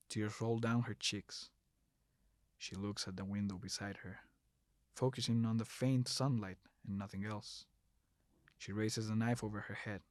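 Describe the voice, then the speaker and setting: A man's voice: fairly low pitch, no room sound. A man delivering scripted, dramatic narration for a horror audio drama, close on the mic.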